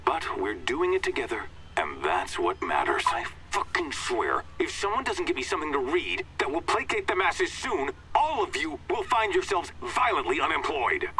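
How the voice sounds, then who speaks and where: A man's voice: a little high, huge pitch swings, normal speed, pushed and bright, a little hiss or hum, heavily acted, low-quality recording. An actor playing a pompous, foul-tempered male colony chairman who switches between a polished public address and profane rants. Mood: angry ranting. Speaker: corporate chairman.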